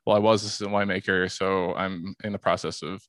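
A man, an assistant winemaker, talking casually and haltingly in a group podcast chat, often repeating his words. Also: low voice, little pitch movement, a bit bright, no echo, clean studio sound.

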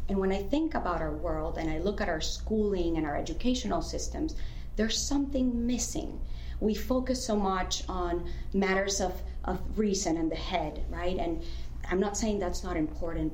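Interview guest, woman, thirties, from Venezuela; earnest and reflective, slightly low voice, echoey room. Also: a little mellow, a little hiss or hum. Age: 30s. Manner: earnest, reflective, with frequent restarts. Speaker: author and guest.

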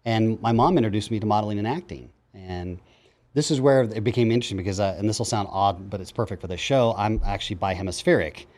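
A man's voice: on the low side, fast talker. A man in his fifties, a psychologist guest, telling his life story in casual interview chat and acting out the voices of people he quotes. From grew up in Japan and Germany. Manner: animated storyteller, acts out quotes.

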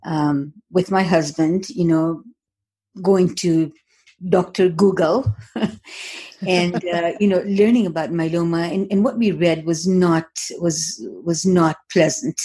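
Guest, woman, middle-aged, from Tanzania; low, mellow, husky voice, reflective and unhurried. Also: steady tone. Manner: thoughtful, measured, frequent ums and uhs. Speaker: guest, author. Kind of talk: interview conversation.

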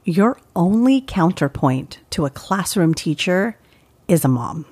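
Low voiced lady cohost reading listener mail, laughs a lot, casual. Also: measured pace. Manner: animated, laughs often. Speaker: podcast co-host.

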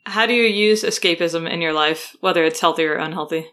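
A woman's voice: fairly low pitch, a little fast, fairly bright tone. A woman co-hosting a podcast, talking frankly and informally and swearing casually. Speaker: podcast co-host. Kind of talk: casual interview chat.

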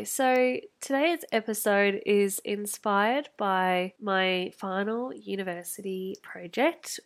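Woman in her twenties, nasal and stuffed up with a cold, gravelly fry, chatty solo podcast host. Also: mid-range voice. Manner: chatty, uses lots of fillers. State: sick with a head cold. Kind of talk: casual solo podcast chat.

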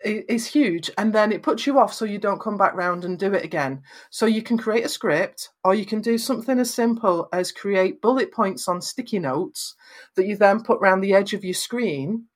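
A woman from northwest England with a Lancashire accent and flat vowels, giving encouraging advice about presenting on camera in an emphatic, conversational way. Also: mid-range voice, moderate pace. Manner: emphatic, encouraging, acts out little quotes. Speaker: guest giving video advice.

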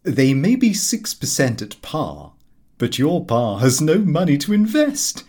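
An earnest middle-aged clerk, acted by a male reader, eager and polite with a lively lift in the voice. He is a fussy, self-satisfied storybook figure with a slightly husky edge. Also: a little high, unhurried, pitched above the performer's own voice, full character voice. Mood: pleased, genial.

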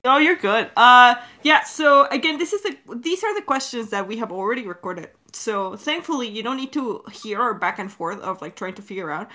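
A woman's voice: fairly high pitch, expressive, moderate pace, hardly any pauses, pushed and bright. A woman co-hosting a creator Q&A, chatting casually in a giggly way and swearing freely. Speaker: podcast creator and co-host.

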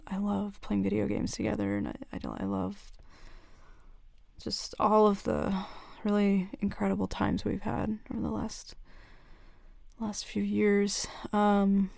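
Woman acting a halting, creaky-voiced shy tomboy. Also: mid-range voice, a little mellow, dry recording, studio quality, heavily acted. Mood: hesitant, tender. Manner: hesitant, halting, breaking into nervous giggles. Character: shy, nervous tomboy best friend confessing a crush. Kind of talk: intimate audio roleplay, spoken to the listener.

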